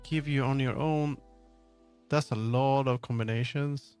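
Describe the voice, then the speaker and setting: Casual male livestreamer, average pitch, muttery and wandering delivery, light music behind. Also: fairly even intonation, a little slow, a little mellow, clean tone. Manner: rambling, muttering to himself, jumping between thoughts. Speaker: streamer.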